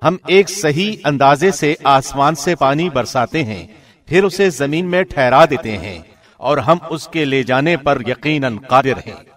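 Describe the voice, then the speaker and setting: A man reading a scripture translation in a formal, measured and solemn radio presenter's style. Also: mid-range voice, animated, a bit bright. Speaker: translation reader, radio host.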